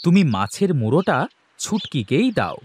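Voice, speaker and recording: A man's voice: a little high, big pitch swings, normal speed, darkish tone, slight background noise. A man playing a kindly, affectionate father and husband in a cartoon, speaking with concern. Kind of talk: Bengali cartoon voice acting.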